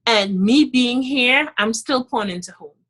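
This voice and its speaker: A woman's voice: a little high, normal speed, a bit bright. A woman with a Trinidadian accent, a podcast guest talking in a casual, emphatic way, often adding "you know". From Trinidad and Tobago. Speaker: podcast guest, dentist and author. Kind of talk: casual interview chat.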